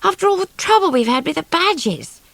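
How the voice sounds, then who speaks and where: A woman voicing a very small, excitable child who talks in blunt, plaintive, dramatic exclamations for a storybook. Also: very high-pitched, fairly quick, creaky, dry recording, pitched above the performer's own voice, full character voice. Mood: excited.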